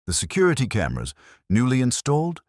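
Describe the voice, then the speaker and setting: A man reading a first-person mystery story in a measured, reflective way. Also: mid-range voice, wildly swooping pitch, darkish tone, no room sound, studio quality. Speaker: narrator.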